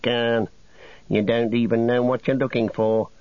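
A man's voice: mid-range voice, level tone, unhurried, no echo, lo-fi sound, pitched above the performer's own voice, natural voice. A man reading a story, voicing a small, quarrelsome male orc tracker who snarls and whines. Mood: sneering. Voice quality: snarling, nasal.

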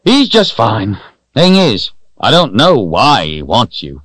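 A man's voice: medium pitch, huge pitch swings, takes it slowly, fairly bright tone, no echo, clean studio sound, pitched above the performer's own voice, heavily acted. A man voicing a jolly, teasing old man in an audiobook, with a hearty, folksy manner. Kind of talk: audiobook character voice.

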